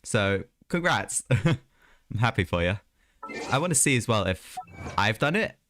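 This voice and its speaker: A man's voice: on the low side, very animated, a little slow, a bit bright. A man chatting casually and ramblingly as he streams a video game, talking to his viewers. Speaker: live streamer. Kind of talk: casual gaming livestream chat. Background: music.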